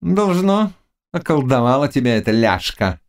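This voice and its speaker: A man voicing a mocking, scheming Cossack witch woman in an audiobook, with loud, taunting exclamations. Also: medium pitch, sing-song, moderate pace, voice pushed up. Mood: scornful, angry. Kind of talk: audiobook character dialogue.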